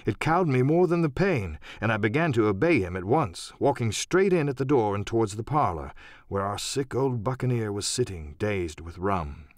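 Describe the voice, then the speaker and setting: A man narrating an audiobook as a dramatic storyteller, theatrical and expressive in his delivery. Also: fairly low pitch, normal speed, no echo, clean studio sound.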